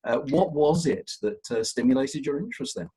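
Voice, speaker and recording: A man hosting an interview podcast, chatty and halting, often repeating words. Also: on the high side, expressive, mellow, husky, rough recording. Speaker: podcast host. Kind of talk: casual interview chat.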